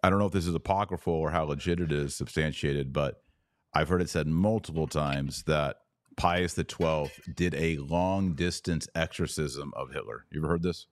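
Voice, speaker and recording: A man's voice: very deep voice, moderate pace. A man hosting a podcast in casual discussion. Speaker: podcast host.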